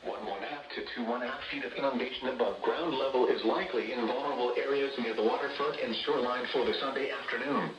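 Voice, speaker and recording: A man's synthetic-sounding voice reading a scripted public weather bulletin in a formal, measured announcer delivery over a radio. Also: mid-range voice, recorded from a distance, muffled recording, music in the background. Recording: noisy, echoey weather radio captured from off.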